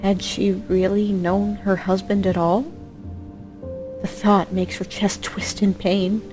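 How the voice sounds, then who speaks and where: Female narrator for audio drama, theatrical and emotive storytelling, mid-pitched voice, music underneath. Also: flat delivery, slow.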